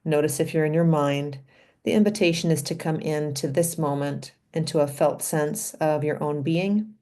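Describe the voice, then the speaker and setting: Female meditation guide: low, soft-edged mellow tone, gentle and unhurried delivery in short, spaced phrases. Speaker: meditation leader and physician.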